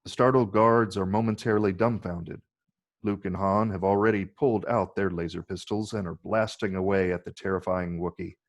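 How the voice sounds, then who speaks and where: A man with a gravelly, husky voice reading scripted screenplay narration. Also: low voice, fairly even intonation, normal speed. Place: virtual call from separate location.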